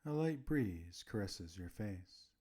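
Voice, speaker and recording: A man narrating a scripted guided relaxation as a meditation host, soothing, with gentle pauses between phrases. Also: on the low side, lively intonation.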